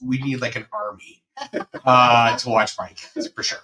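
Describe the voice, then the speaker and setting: A man's voice: medium pitch, flat delivery, moderate pace, bright, forceful tone. Upbeat man co-host, distant with faint hiss. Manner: upbeat, rambling with fillers. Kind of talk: casual show chat. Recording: distant mic with faint noise.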